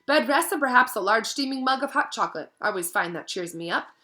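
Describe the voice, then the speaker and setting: A woman voicing a kindly old male wizard headmaster in a storybook, speaking softly, thoughtfully and with measure. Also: on the high side, animated, fast. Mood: firm, kindly. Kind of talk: audiobook-style character reading. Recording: music behind the voice.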